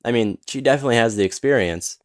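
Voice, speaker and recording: A man playing a smug, flirtatious businessman in an audio roleplay, teasing and smooth-talking with mock politeness. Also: mid-range voice, quick pace, no echo, clean studio sound, natural voice. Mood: needling, smug. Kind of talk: scripted audio roleplay acting.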